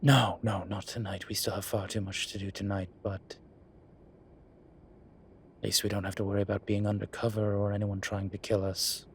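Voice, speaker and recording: Weary incubus professor played by a man, lowish voice, wry and measured, intimate audio drama, ambient noise behind. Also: little pitch movement, lightly acted. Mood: dry, resolved. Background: ambient sound effects.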